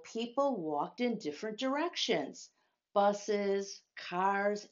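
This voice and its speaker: A woman teaching and reading a story aloud to young children, speaking in simple, deliberate phrases and asking them questions. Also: on the low side, muffled recording.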